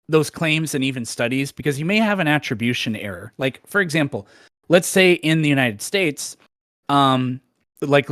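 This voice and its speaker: A man's voice: medium pitch, fairly bright tone, no room sound, clean studio sound. A man who debates, answering callers in a casual call-in discussion with loose, filler-heavy reasoning.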